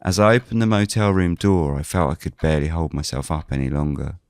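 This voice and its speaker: A man playing a weary investigator who narrates a scripted audio drama in the first person, measured and brooding. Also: rumbling low, fairly even intonation, a little mellow, no echo, studio quality. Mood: exhausted. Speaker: narrator and lead character.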